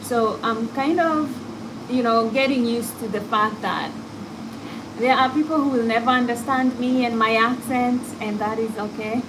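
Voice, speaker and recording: A woman with an African accent talks in a candid, reflective way, hosting a casual chat. Her voice sits a little higher than usual, with a clear hiss of background noise behind it. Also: steady tone, normal speed. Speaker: podcast host.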